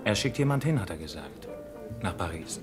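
A man's voice: low voice, very animated, normal speed, over loud music. An actor playing an evasive barman turned informant in a radio drama, hesitant and placating toward authority. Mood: wary, placating. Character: evasive barman informant, deferential and self-protective toward police. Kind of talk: German radio drama acting.

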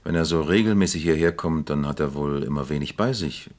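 Very deep-voiced, probing police captain. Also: male voice, darkish tone. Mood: affable, probing. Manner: deliberate, questioning, official. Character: calm, probing male East German police captain. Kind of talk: radio crime drama acting.

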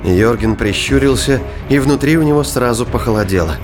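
A man with a mid-pitched voice narrates a science-fiction thriller. He reads as a scripted audio drama narrator, with faint music underneath. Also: expressive, a little fast.

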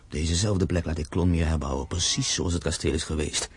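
A man's voice: rumbling low, brisk, slight background noise. A man acting in a Dutch radio drama as a proud, grieving castle owner, speaking solemnly and emphatically. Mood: resolute, idealistic.

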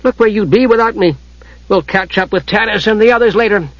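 A man's voice: high-pitched, fairly quick, no echo, muffled recording, voice pushed up, close to an everyday voice. A man voicing a small, innocent, chatty adventurer in an audiobook, rambling on blithely and unbothered. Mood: cheerfully confident. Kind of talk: audiobook character voice.

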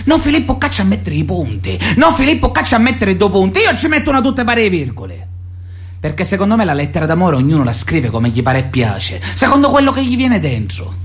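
A man's voice: sits high, wildly swooping pitch, brisk. An actor playing a pushy, lovestruck young man with a Sicilian accent in a radio play, colloquial and theatrical. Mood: reminiscing, animated. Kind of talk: radio theatre monologue and dialogue. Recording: acoustic guitar music behind.

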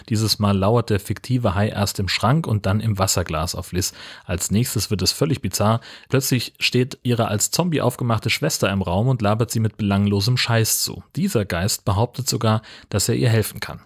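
A man's voice: on the low side, normal speed, hardly any pauses, breathy edge. A man hosting a podcast, narrating a scripted comic film recap in a wry, colloquial way. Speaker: podcast host.

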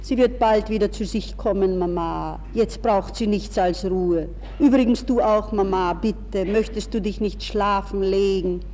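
An actor playing a calm young man speaking in a measured, polite, soothing way in a 1960s radio play. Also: high voice, slight background noise. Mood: soothing, firm. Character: calm, reassuring young man, the aunt's son. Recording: reverberant 1960s radio recording.

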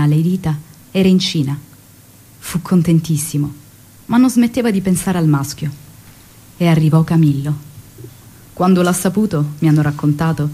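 A young revolutionary's wife in a radio drama. She is devoted and full of longing, with a fairly low, mellow voice that has a husky grain. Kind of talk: theatrical radio drama monologue and dialogue.

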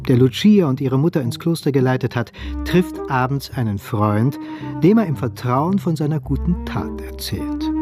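A man narrating a radio play in an expressive, literary storytelling style. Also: a little high.

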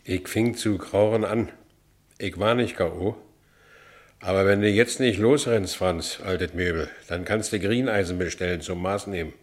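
Actor as Franz Biberkopf, deep-voiced ex-convict. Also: male voice, fairly even intonation, moderate pace, close to an everyday voice. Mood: grim, weary. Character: Franz Biberkopf, battered, streetwise Berlin ex-convict telling his story. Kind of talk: first-person radio drama narration.

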